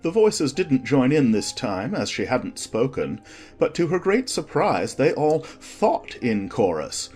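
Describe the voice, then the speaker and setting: A man narrating a children's storybook in an expressive, scripted audiobook style. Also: mid-range voice, slow pace, soft background music.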